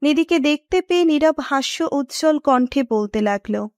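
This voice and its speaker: A woman's voice: high-pitched, lively intonation, moderate pace, no room sound, clean studio sound. A woman narrator reading a scripted romantic story.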